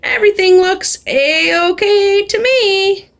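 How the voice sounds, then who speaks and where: An official, emphatic policeman from a picture book acted out by a woman reader. The voice is high and suited to a children's read-aloud. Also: slow pace, fairly bright tone, full character voice. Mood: satisfied. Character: inquiring male policeman in a storybook.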